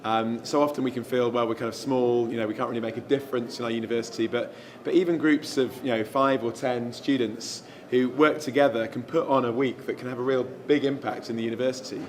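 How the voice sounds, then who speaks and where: A man who is a guest evangelist, answering interview questions in a relaxed, thoughtful way with frequent ums. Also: mid-range voice, fast talker, hardly any pauses. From England.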